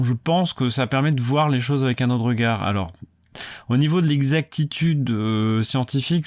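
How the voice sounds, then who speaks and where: Young guy thinking out loud, lots of euhs and restarts, mid pitch, dry close sound. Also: dry recording. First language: French. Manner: hesitant, many fillers and restarts. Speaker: musicology PhD student and video creator. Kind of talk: unscripted solo talk.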